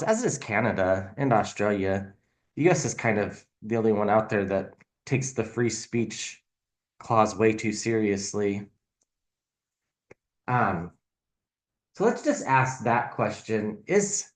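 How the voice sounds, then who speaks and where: A man casually reading tarot cards as a channel host, speaking in a halting, thinking-aloud way and offering speculative commentary. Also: on the low side.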